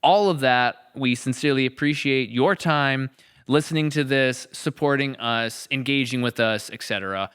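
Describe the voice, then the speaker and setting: A man with a very bright, forward voice at an average pitch co-hosts a podcast. He talks in a casual, conversational way and drops in playful asides. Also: normal speed, smooth. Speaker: podcast co-host, production creator.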